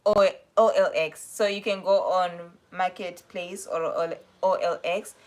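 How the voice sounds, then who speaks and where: A woman's voice: fairly low pitch, fairly even intonation, a little slow, darkish tone. A young woman with a South African accent, chatting casually on a livestream and answering viewer questions in a rambling, self-correcting way. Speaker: livestream host.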